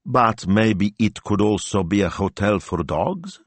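A man voicing a practical woman, a hotel owner's wife, who speaks briskly and encouragingly. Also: on the low side, big pitch swings, no echo, pitched above the performer's own voice, full character voice.

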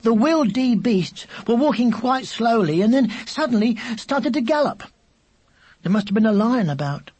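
A narrator voicing a silly, chatty little boy who asks endless questions in a slow, innocent, drawn-out way in a children's story. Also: medium pitch, sing-song, darkish tone, breathy edge, pitched above the performer's own voice. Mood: cheeky, insistent.

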